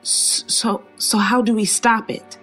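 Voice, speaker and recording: A woman's voice: on the high side, flat delivery, measured pace, fairly bright tone, breathy edge, rough recording. A woman acting an uneasy, questioning woman in an old-time radio horror drama, hesitant in her delivery. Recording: music under the voice. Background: music.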